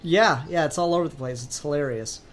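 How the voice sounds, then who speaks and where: A man's voice: on the high side, a little fast. A man hosting a podcast in casual, rambling conversation with a joking manner. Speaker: podcast host.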